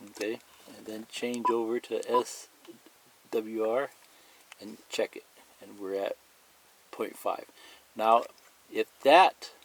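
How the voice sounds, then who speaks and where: Slow-paced man giving a hands-on tutorial. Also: lowish voice, a little hiss or hum, low-quality recording. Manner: plain-spoken, repetitive, pointing things out as he goes. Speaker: hobbyist instructor. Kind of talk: step-by-step hands-on tutorial demonstration, partly reading a manual aloud.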